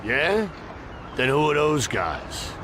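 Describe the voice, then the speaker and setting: An actor playing a cocky, hot-headed street tough in a video game cutscene, with brash, swaggering delivery. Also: male voice, on the high side, big pitch swings, takes it slowly, background noise. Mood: cocky, suspicious.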